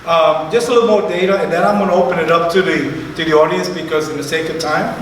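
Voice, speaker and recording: A man guides a panel with easygoing, conversational remarks. His voice sits a little high. He sounds distant, and the hall rings with a long echo and a faint hiss. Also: fairly even intonation, fast. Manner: conversational, chatty asides. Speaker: moderator. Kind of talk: panel discussion moderating. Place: museum event space, live audience. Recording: echoey hall from far off.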